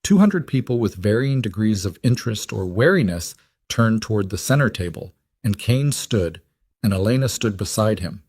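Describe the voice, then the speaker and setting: A man with a fairly low voice narrates a dramatic story. His delivery is measured and deliberate, falling in short clipped phrases. The sound is dry, with almost no room on it. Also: expressive, darkish tone, husky, dry recording. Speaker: audiobook narrator. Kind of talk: scripted dramatic fiction narration.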